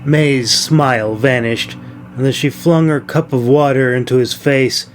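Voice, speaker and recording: A man narrates a fantasy novel as its author. His voice has a middling pitch, and he delivers scripted storytelling prose. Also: measured pace, a little hiss or hum.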